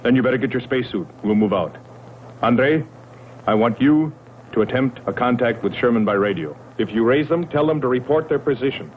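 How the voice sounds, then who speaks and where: A man's voice: mid-range voice, brisk, phone-quality sound, natural voice. An actor playing a practical male spaceship commander in a 1960s science fiction film, giving clipped, authoritative orders. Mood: commanding. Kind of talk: 1960s sci-fi film acting. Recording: thin band-limited old film soundtrack, faint noise.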